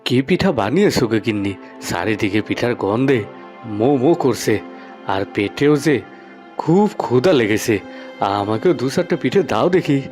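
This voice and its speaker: An actor playing an earnest, homely farmer, a husband and dutiful son, in a cartoon fairy tale. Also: male voice, medium pitch, lively intonation, normal speed, darkish tone, faint music behind, natural voice. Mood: hungry, cheerful.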